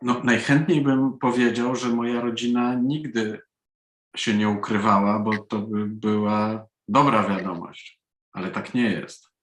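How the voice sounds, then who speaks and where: A man's voice: lowish voice, steady tone, normal speed. A man from Poland, an author, answering interview questions at a live event. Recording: roomy, echoing sound.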